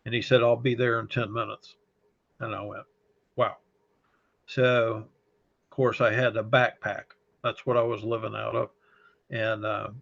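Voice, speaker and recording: Slow, gravelly man with a slight Texas accent. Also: lowish voice, fairly bright tone. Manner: unhurried, halting, with many pauses and fillers. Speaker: fire service chaplain and paramedic. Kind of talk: recounting memories in an interview. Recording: dull lo-fi audio.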